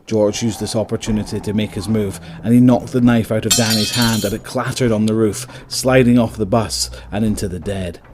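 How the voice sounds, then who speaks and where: Low-voiced man narrating a story. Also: fairly even intonation, brisk, few pauses, noisy background. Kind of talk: scripted dramatic story narration.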